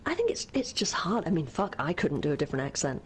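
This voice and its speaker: A woman's voice: sits low, very animated, quick pace, a little hiss or hum. A young woman co-hosting a game stream, chatting casually and reading out viewer messages with lots of laughs and fillers. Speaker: streamer co-host. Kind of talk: casual let's-play chat, reading chat messages.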